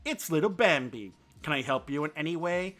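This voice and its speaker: An arrogant older stag from a storybook, as a man puts him on, with a limp and a mock-genteel, sneering manner. The voice is fairly high and sharp. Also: lively intonation, a little fast, soft background music. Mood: sneering, contemptuous. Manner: genteel, contemptuous. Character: older male deer, limping, sneering and arrogant.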